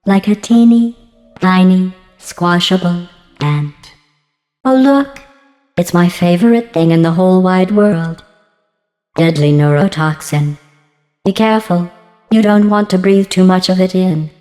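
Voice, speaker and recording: A woman voicing a passive-aggressive female artificial intelligence in a video game, deadpan and mock-sweet, with synthetic robotic processing. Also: lowish voice, unhurried, long pauses, big-room sound, faint music behind. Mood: mock-sweet menace. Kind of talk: scripted video game dialogue.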